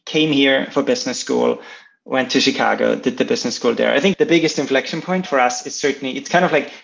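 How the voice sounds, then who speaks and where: A man's voice: medium pitch, fast, vocal fry, a bit bright. A man from Austria with an Austrian accent and German as his first language, explaining things casually as an interview guest on slightly lo-fi audio. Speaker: interview guest, startup co-founder.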